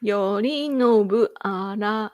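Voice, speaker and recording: Japanese woman in her thirties hosting a livestream, unhurried delivery, dry close sound, giggly and chatty. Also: mid-range voice, darkish tone, dry recording. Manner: rambling, laughs often, thanks viewers repeatedly. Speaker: livestream host. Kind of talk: casual livestream chat reading viewer comments.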